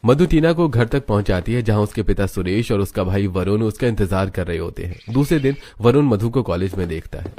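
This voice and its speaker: A man's voice: fairly low pitch, animated, brisk, few pauses, warm tone, soft background music. A man narrating a cartoon story in Hindi from a script.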